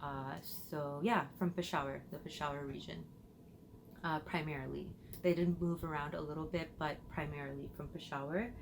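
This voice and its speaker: A woman's voice: low voice, fairly even intonation, moderate pace, background noise. A woman from Illinois, a perfume vlogger answering viewer questions in a chatty way, thinking aloud as she goes, recorded on her phone. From United States, Illinois. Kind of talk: casual Q&A chat to camera.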